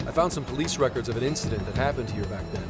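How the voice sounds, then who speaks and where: An actor playing a blunt, gruff older man who is easily exasperated, in an anime-style video game. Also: mid-range voice, animated, rapid-fire, close to an everyday voice. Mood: businesslike. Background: loud music.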